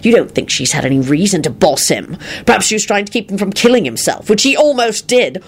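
A sardonic man in a story, acted by a woman reader. He has a quick temper and a threatening edge, in a fairly low, expressive voice. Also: husky, full character voice. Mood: dangerous anger. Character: coolly sarcastic, quick-tempered man, dangerous when angry. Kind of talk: audiobook character acting.

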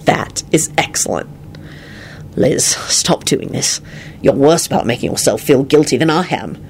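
A low, understated man of dry humour, acted out by a woman narrator. He mumbles sheepishly and deadpan, like a mild storybook wizard, with some gravel in the voice. Faint noise sits on the recording. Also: unhurried, heavily acted. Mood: stern, concerned.

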